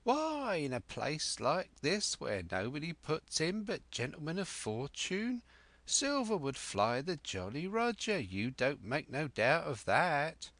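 A man doing a high, eager old castaway sailor. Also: wildly swooping pitch, slow pace, fairly bright tone, no echo, full character voice. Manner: rustic old sailor dialect, pleading. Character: half-wild old male castaway, eager, cunning.

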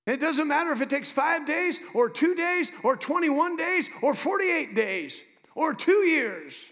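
Animated high-voiced male pastor preaching. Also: unhurried. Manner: swings from loud preaching to quiet asides; jokes with the congregation. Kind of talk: preaching a sermon.

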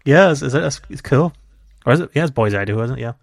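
A man's voice: medium pitch, lively intonation, fast, vocal fry, no echo. A man chats loosely on a gaming podcast, drifting between thoughts and laughing often. His voice sits in a middle register. The sound is dry and close, with no room around it. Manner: chatty, rambling, laughs easily. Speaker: podcast co-host. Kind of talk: casual chat.

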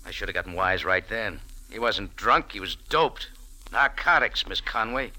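A man's voice: lowish voice, expressive, moderate pace, sharp tone, poor audio. A man playing a tough, wry cafe owner and sleuth in a 1940s radio drama, speaking in a clipped, sardonic, hard-boiled way. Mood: knowing, cool. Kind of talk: 1940s radio detective drama acting and narration. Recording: vintage 1940s radio recording with music.

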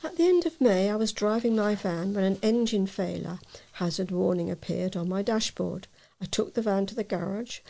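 Creaky-voiced British woman reading aloud. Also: medium pitch, dark tone. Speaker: volunteer newspaper reader. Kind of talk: reading readers' letters aloud.

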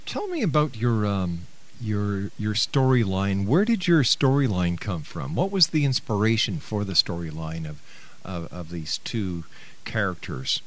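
A man's voice: lowish voice, very animated, a little slow. A mature man hosting a radio interview in a conversational, encouraging and avuncular way.

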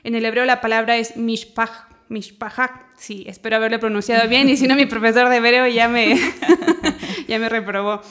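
A woman's voice: on the high side, fairly even intonation, normal speed, a bit bright. A woman from Chile with a mixed Chilean and Mexican Spanish accent, a podcast guest patiently explaining Bible terms and laughing easily. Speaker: guest, theology teacher.

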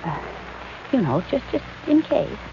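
A woman's voice: a little high, sing-song, measured pace, creaky, exaggerated voice. A woman playing a level-headed, caring female companion to a detective, practical and a little hesitant, in 1940s radio style. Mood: casual, concerned. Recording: noisy, muffled old-time radio recording.

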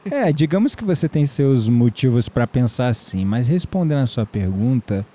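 A man acting a mellow, flirtatious Brazilian neighbour. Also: mid-range voice, sing-song, moderate pace, a little hiss or hum, lightly acted. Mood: sincere. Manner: playful teasing, flirtatious. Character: mysterious male neighbour, flirtatious, playful, calmly teasing. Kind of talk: audio drama dialogue.

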